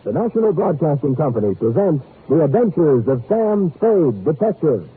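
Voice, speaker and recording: A man's voice: on the high side, very animated, normal speed, slight background noise. A man announcing a radio show in a polished, emphatic mid-century showman style, reading from a script. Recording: tinny old radio broadcast recording.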